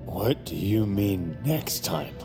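Wisecracking, animated captive. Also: male voice, on the low side, takes it slowly. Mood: weak, dazed. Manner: flippant, joking. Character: wisecracking, irreverent male captive, goofy under torment. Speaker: actor in an audio fiction drama. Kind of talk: comedic audio drama acting. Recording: loud music behind the voice.

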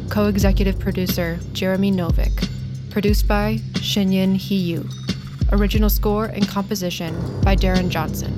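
A woman announcer reading scripted credits in a measured, neutral manner. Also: medium pitch. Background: music.

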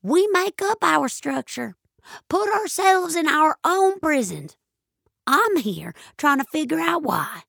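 A woman's voice: high-pitched, very animated, slow pace, vocal fry, fairly bright tone, no echo, studio quality, voice pushed up, exaggerated voice. A woman voicing a blunt, gloomy old country grandmother in an audio story. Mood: musing, emphatic. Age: older. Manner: folksy, blunt, fatalistic musing.

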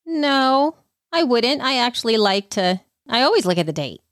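A woman's voice: fairly high pitch, sing-song, moderate pace, fairly bright tone, no room sound, studio quality. Enthusiastic woman hosting a casual podcast. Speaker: podcast host.

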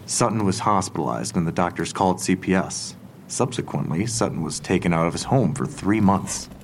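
An actor playing a methodical police investigator in an audio drama, businesslike and measured. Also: male voice, low voice, animated, slight background noise.

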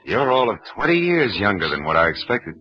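A man's voice: lowish voice, big pitch swings, fairly quick, faint music behind. A man with an American accent playing a wry private detective in 1940s radio style, with dry, sardonic delivery. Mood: smooth, teasing. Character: wry, hard-boiled male private detective. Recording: dull restored old radio recording.

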